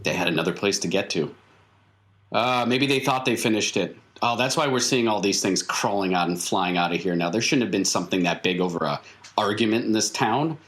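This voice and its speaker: A man's voice: lowish voice, lively intonation, fairly quick, a bit bright. A man acting as game master, improvising explanations in a tabletop story game with emphatic, repeated phrasing.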